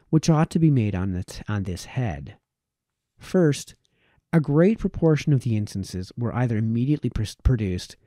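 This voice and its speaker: A man narrates a formal old text in a very dark, soft-edged voice. His phrasing is measured and formal, and the sound is dry and close, with little room around it. The pitch sits in an ordinary male range. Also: no room sound. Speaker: reader, narrator. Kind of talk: reading a historical political text aloud, scripted narration.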